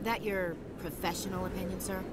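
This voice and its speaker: A woman's voice: fairly low pitch, fairly even intonation, a little fast, heavy background noise, close to an everyday voice. An actress playing a skeptical female soldier in a video game, dry and sardonic. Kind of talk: video game cutscene acting.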